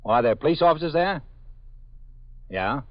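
An actor as a brisk police desk officer. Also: male voice, on the high side, expressive, no echo, close to an everyday voice. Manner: reassuring, brisk. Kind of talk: 1950s radio drama acting. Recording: dry, dull old radio broadcast.

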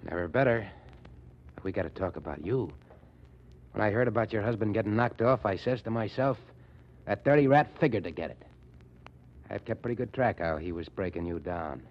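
An actor playing a cocky, folksy tough gang boss in slangy, ungrammatical talk, in 1940s radio style. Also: male voice, lowish voice, moderate pace, pauses often, close to an everyday voice. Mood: protective, insistent. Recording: muffled old 1940s radio recording.

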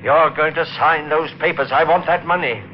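An actor playing a greedy, desperate murderer in an intense, insistent 1940s radio drama style. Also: male voice, a little high, steady tone, normal speed, close to an everyday voice. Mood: angry. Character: greedy, desperate murderer obsessed with insurance money. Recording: muffled, noisy old radio recording.

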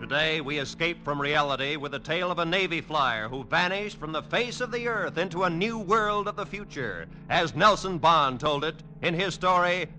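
Male radio announcer over loud music. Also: fairly high pitch, expressive, normal speed, hardly any pauses, rough recording. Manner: theatrical, formal. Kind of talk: dramatic 1940s-50s radio announcing.

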